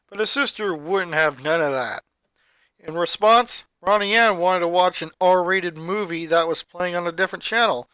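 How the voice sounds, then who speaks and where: A man reading a story aloud as scripted narration, with dramatic, expressive delivery. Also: high voice, dry recording. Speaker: narrator, fan fiction reader.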